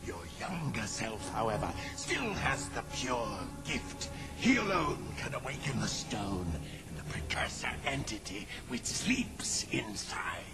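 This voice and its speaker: An actor playing a grandiose, scheming monster villain in a video game, with a gravelly, theatrical, sinister delivery. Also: male voice, mid-range voice, big pitch swings, a little slow, hardly any pauses, music in the background. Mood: sinister, gloating. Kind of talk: video game cutscene acting.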